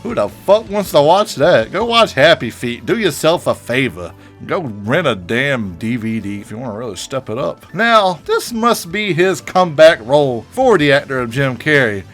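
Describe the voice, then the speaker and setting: A man's voice: fairly high pitch, fairly bright tone. A man giving a casual, opinionated movie review in a chatty, joking, slangy way.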